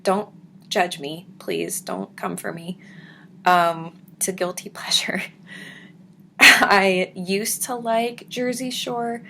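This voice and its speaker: A young woman with a deep, raspy voice, chatting casually to her viewers at home and slipping into soft whispers. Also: expressive, slow, slight background noise. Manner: soft-spoken, drifts into whispers. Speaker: vlogger. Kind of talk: casual chat reading viewer comments.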